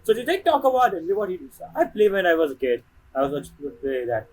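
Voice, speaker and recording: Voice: medium pitch, sing-song, fast talker, distant mic, rough recording. A game streamer giving casual, chatty reactions while playing.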